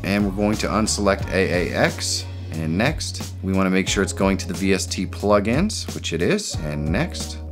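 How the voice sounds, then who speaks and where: A middle-aged man with a fairly low voice talks through a software setup one click at a time, steady and methodical. Soft music sits faintly under his voice, recorded at a desk in a home studio. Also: normal speed, few pauses. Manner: plain, methodical walkthrough. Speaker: tutorial host. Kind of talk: step-by-step software tutorial.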